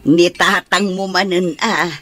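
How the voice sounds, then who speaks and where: An actress playing a playful, teasing middle-aged mother with a husky voice in an Ilocano radio comedy drama. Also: fairly low pitch, steady tone, slow pace, sharp tone, soft background music, full character voice.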